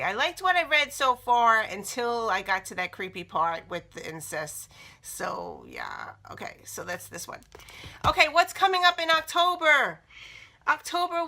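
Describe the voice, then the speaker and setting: A middle-aged woman talks casually to camera about her reading and journals, her voice slightly raspy from a cold. Her tone is bright and forward. She rambles, with frequent ums and restarts. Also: fairly high pitch. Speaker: book vlogger. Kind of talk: casual chat showing items to camera.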